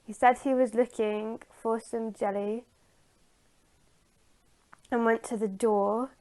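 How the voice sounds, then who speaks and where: Hesitant, slow-paced woman retelling a story. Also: on the high side, fairly even intonation, dark tone. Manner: halting, hesitant, searching for words.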